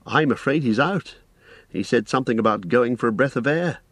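A man's voice: medium pitch, lively intonation, brisk, husky, dry recording, voice pushed up. A man voicing an earnest, weary, courteous hobbit in an audiobook, speaking a little hesitantly. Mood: polite, anxious. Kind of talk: audiobook character voice.

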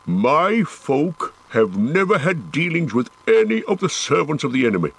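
A man reading an audiobook, voicing a proud and stubborn male dwarf warrior in a gruff, defiant manner. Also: fairly high pitch, very animated, moderate pace, a bit bright, voice pushed up, heavily acted. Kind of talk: audiobook character voice.

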